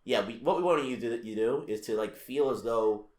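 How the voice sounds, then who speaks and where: A man's voice: medium pitch, brisk, echoey room. A young man co-hosting a casual podcast, rambling and laughing, with lots of swearing and restarts. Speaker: podcast co-host. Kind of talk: casual chat.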